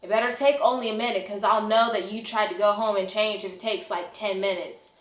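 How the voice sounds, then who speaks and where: A woman's voice: medium pitch, steady tone, brisk, lightly acted. A woman voicing a suspicious mother on the phone, pointed, knowing and scolding as she guilt-trips her child. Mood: guilt-tripping. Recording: echoey room.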